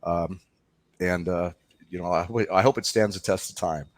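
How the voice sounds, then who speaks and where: Low-voiced man, conversational interview guest. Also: steady tone, moderate pace, dry recording. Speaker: documentary filmmaker, interview guest.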